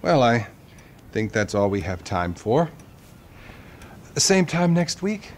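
An actor playing a glib, money-minded middle-aged therapist in a satirical video game cutscene, condescending and falsely soothing. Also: male voice, mid-range voice, sing-song, slow pace, a little hiss or hum. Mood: detached, glib.

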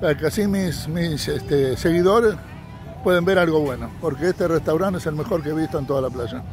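A man's voice: a little high, a little fast, over music. A man walking a beach as a travel vlogger, talking upbeat to his viewers and recommending places. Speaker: vlogger and tour guide. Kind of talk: casual walking travel vlog. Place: sunny beach outdoors. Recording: outdoor beach noise in background.

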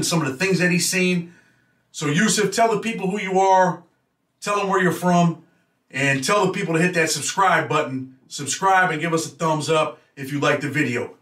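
A man's voice: on the high side, fairly bright tone. A middle-aged man hosting an interview show in a casual, direct way. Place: indoor room with banners. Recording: roomy, slightly distant mic.

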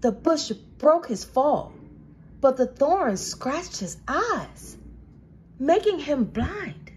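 A woman storyteller reading a children's storybook aloud with dramatic, deliberate phrasing. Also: sits high, sing-song, darkish tone, slight background noise, rough recording.

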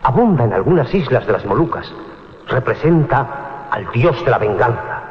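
Un actor de radioteatro de terror interpreta a un marinero supersticioso, solemne y agorero. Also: male voice, mid-range voice, big pitch swings, moderate pace, close to an everyday voice. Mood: ominous warning. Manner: solemn, ominous. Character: grave superstitious seaman warning his captain. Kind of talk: radio horror drama acting. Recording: dull old radio recording, long reverb.

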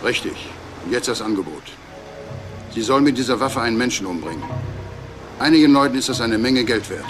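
Male actor, businesslike crime-drama fixer, cool and probing, mid-pitched, measured delivery, music underneath. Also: lively intonation, natural voice, poor audio. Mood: coolly businesslike. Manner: measured, probing, matter-of-fact. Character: cold, businesslike middleman recruiting a hired killer. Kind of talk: German crime radio drama acting.

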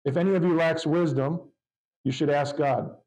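A man preaching a sermon to his congregation, reading scripture aloud in a loud, fervent voice. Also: fairly high pitch, fairly even intonation, moderate pace, poor audio. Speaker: pastor.

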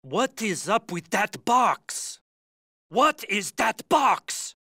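A man's voice: high-pitched, big pitch swings, extremely slow, bright, forceful tone, no room sound, clean studio sound, full character voice. A man playing a suspicious, alert enemy soldier in a video game, challenging and questioning.

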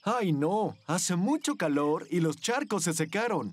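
A man's voice: high-pitched, expressive, unhurried, breathy edge. A man reading scripted narration for a children's cartoon. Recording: music under the voice.

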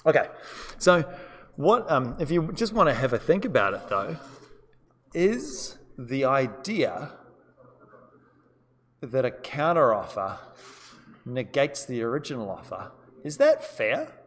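A man with a New Zealand accent leads a law seminar in an easygoing, conversational way, often turning questions back to the room. His voice sits at an ordinary middle pitch. It is caught by a distant microphone and carries the long reverb of a lecture hall. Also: big pitch swings, slow, long pauses, a bit bright, a little hiss or hum. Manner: casual, chatty, asks students questions. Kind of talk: live-streamed seminar teaching.

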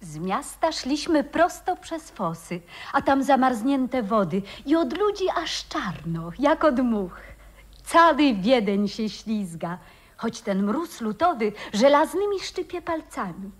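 A woman's voice: fairly high pitch, sing-song, slow, full character voice. An actress playing a dreamy, affectionate, romantic young woman in a radio play, speaking lyrically. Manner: lyrical, exclamatory.

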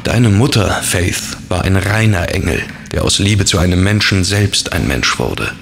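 Gravelly, weary fallen angel. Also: male voice, sits low, lively intonation, measured pace, fairly bright tone, a little hiss or hum, full character voice. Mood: sombre, confiding. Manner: confiding, sombre, weary. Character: melancholy, world-weary fallen angel in a man's body. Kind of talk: horror audio drama acting.

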